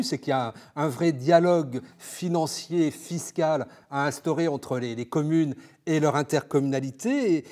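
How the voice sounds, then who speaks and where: A male interviewer with a somewhat high voice. He leads an informational exchange, building his questions haltingly and often doubling back on a word. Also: darkish tone. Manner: halting, repeats words while phrasing questions. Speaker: interviewer and host of an information segment. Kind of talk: interview on local government finance.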